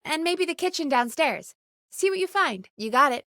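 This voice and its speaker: A woman's voice: high voice, moderate pace, no room sound, exaggerated voice. A woman voice actor playing an earnest, dedicated radio producer who gets exasperated easily, in natural video game dialogue. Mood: focused, urgent. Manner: earnest, expressive, exasperated at times.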